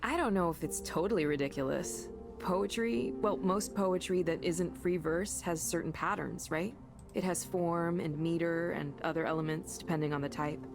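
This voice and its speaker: A woman's voice: lowish voice, moderate pace, lightly acted. A woman playing a curious, self-deprecating young woman in a visual novel, in a natural conversational way. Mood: thoughtful. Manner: conversational, animated, self-effacing. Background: game music.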